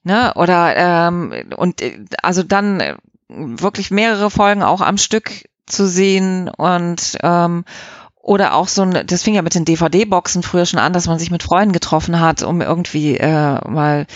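A woman's voice: fairly low pitch, measured pace, no echo. Female interview guest, conversational, keen and emphatic, slightly muffled dry recording. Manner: enthusiastic, emphatic. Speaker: interview guest, audio drama editor.

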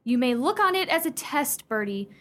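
A woman's voice: high-pitched, big pitch swings, moderate pace. A woman playing a prim, imperious young upper-class fiancée in a radio play, speaking sternly with precise, formal diction. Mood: commanding.

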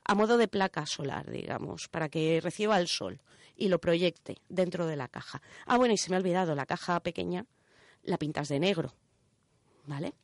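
A woman's voice: lowish voice, expressive, normal speed, gravelly, no echo, studio quality. A woman with an accent from Spain explains things informally on radio, step by step, often checking that listeners follow. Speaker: radio show contributor presenting a section. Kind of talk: casual explanatory radio chat.

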